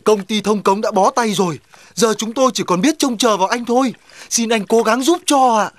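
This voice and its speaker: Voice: medium pitch, brisk, lightly acted. An actor voicing a practical, talkative animal neighbour in a cartoon dub, persuading and organising the others. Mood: pleading. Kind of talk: Vietnamese children's cartoon dubbing.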